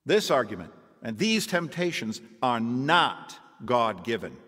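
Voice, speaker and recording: A man's voice: mid-range voice, fairly bright tone, big-room sound, full character voice. An actor playing an earnest, preachy reformed man turned missionary, telling his testimony in a radio drama.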